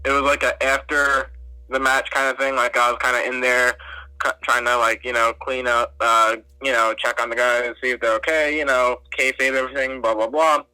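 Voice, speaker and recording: Young male referee guest, crisp tone, talks loosely with constant fillers. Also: medium pitch, flat delivery, moderate pace, bright, forceful tone, dry recording, low-quality recording. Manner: chatty, many fillers like "like". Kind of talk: casual interview answers.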